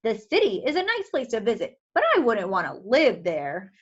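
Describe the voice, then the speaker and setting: A woman voicing a calm, easygoing penguin in a children's storybook, matter-of-fact and reassuring. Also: on the high side, normal speed, slightly rough, muffled recording, heavily acted. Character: calm, easygoing penguins with frank, kindly advice. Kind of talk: children's storybook read-aloud.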